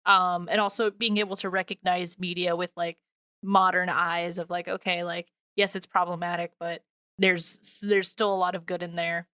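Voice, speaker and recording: A woman's voice: fairly low pitch, flat delivery, normal speed, sharp tone, clear, resonant tone, no echo, clean studio sound. A woman hosting a casual podcast, gushing and rambling in an informal way. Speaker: podcast host.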